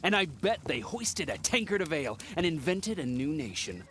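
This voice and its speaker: An actor playing an excitable, self-concerned gentleman in a video game, with a theatrical, fretful manner. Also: on the high side, normal speed, slight background noise. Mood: knowing, musing. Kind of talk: dramatic video game dialogue.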